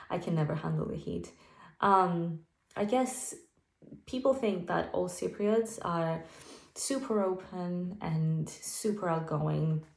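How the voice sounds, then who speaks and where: Woman from Cyprus, candid interviewee, low gravelly voice, echoey room. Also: slow, a little mellow. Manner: candid, lightly self-deprecating.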